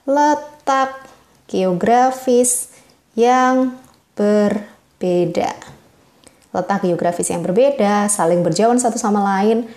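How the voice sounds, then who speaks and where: A woman tutor working through exam questions, reading the answer options slowly and explaining them patiently to her viewers. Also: fairly high pitch, dark tone, reverberant.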